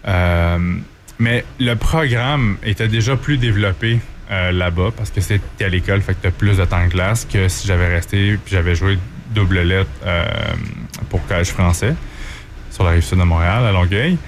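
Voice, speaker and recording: A man in his thirties with a Québécois French accent, a former hockey goaltender telling his career story in a relaxed way, with many fillers and restarts. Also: sits low, moderate pace, slight background noise. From Quebec. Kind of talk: casual interview.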